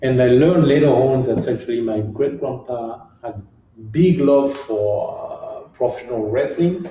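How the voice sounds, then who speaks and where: A man's voice: mid-range voice, mellow. A middle-aged man from southern France with a French accent, answering interview questions casually and stopping often to find English words. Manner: halting, searching for English words. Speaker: guest, kickboxing champion. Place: gym. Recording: echoey room, distant muffled mic, faint hiss.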